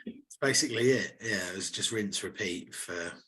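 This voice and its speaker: A man, slightly hoarse, co-hosting a casual podcast and telling stories with lively, laddish banter. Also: fairly low pitch, normal speed. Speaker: podcast co-host. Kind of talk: casual chat.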